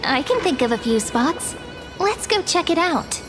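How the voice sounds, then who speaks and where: A dreamy young village woman in a fantasy game. Her voice is high and soft, with a thoughtful, reflective delivery over clear music. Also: very animated, unhurried, exaggerated voice. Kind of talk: scripted game dialogue.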